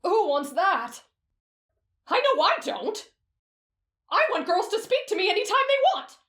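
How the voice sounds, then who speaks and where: A woman voicing an excitable, lovesick teenage boy swordsman who gushes and pleads dramatically. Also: very high voice, measured pace, reverberant, full character voice. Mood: gushing.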